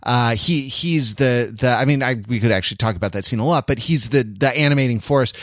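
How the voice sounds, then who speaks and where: Male podcast panelist, mid-pitched, wordy and analytical, stop-and-start delivery, close dry recording. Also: fairly bright tone, no echo. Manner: halting, rambling, wordy and analytical. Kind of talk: casual film discussion.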